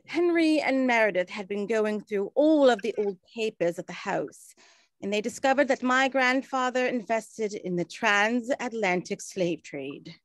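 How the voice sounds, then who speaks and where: Woman as genteel 1920s auntie, period drama: fairly high voice, formal, earnest, close dry sound. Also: expressive, a little slow, dry recording. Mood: grave, explanatory. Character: genteel, earnest well-travelled aunt of the 1920s. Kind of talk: scripted period radio drama acting.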